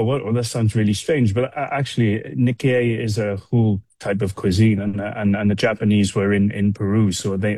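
A man's voice: fairly low pitch, a little mellow, no room sound. A man from Wales answering casually as a chef in an interview, hesitant and often repeating words as he explains. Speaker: restaurant chef being interviewed.

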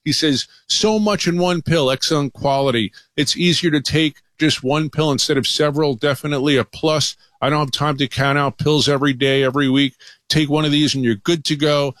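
A man's voice: mid-range voice, a bit bright, no echo. Male doctor guest, dry close sound. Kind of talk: conversational promotional interview.

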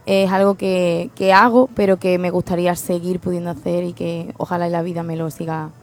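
Woman, fashion photographer guest, earnest and measured, flat delivery. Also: fairly low pitch. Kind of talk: radio interview.

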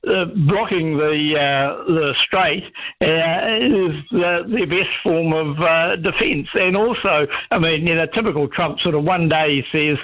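A man's voice: on the high side, unhurried, fairly bright tone. A man calling a talk radio show, giving his opinion with lots of hesitant uhs. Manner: hesitant, many uhs, chuckles while talking. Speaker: caller. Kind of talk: talkback call. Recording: dull phone call audio.

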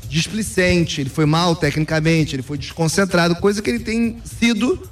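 A man's voice: high voice, normal speed, faint music behind. A man talking football as a pundit in casual sports talk, opinionated and emphatic. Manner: opinionated, emphatic, repeats "cara".

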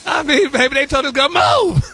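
A man playing a sassy, high-voiced gossip diva. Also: moderate pace, pushed and bright. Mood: gossipy. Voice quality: flamboyant, sassy, calls listeners honey and baby. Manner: drawling, breaks into giggles. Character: sassy campy gossip persona, male host. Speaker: celebrity gossip segment regular. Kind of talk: campy gossip chat on morning radio.

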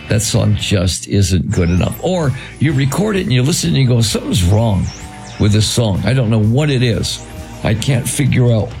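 A man hosting a radio show, his voice croaky from touring, chatting casually and acting out what he tells himself in the studio. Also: on the low side. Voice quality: gravelly. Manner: relaxed storytelling, acting out his own inner voice.

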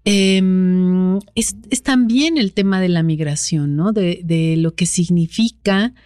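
A Mexican woman author being interviewed, speaking in a reflective, thoughtful way with pauses and restarts. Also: lowish voice, a little mellow, no echo, studio quality. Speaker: author, guest. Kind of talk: interview conversation.

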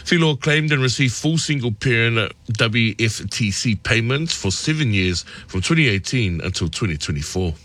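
A man reading a radio news bulletin in a measured way and chatting as the show's producer. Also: mid-range voice, lively intonation, fairly bright tone, dry recording. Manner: measured news delivery, friendly banter. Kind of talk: radio news bulletin and casual studio chat. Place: radio studio.

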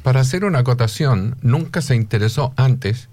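A man's voice: medium pitch, animated, normal speed, a little mellow. An older man with a Chilean accent, telling personal stories conversationally as an interview guest. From Rancagua, Chile. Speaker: interviewee, musician father.

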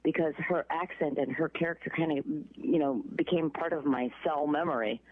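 A middle-aged woman with a Chicago accent, chatting as an interview guest, halting and restarting often. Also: low voice, husky. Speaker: voice actress guest. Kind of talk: casual interview by phone. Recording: tinny phone line.

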